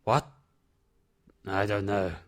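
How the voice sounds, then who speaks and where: A man playing a gruff, solemn older recluse with a terse, grave manner in an amateur audio drama. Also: lowish voice, little pitch movement, slow, a bit bright. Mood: firm.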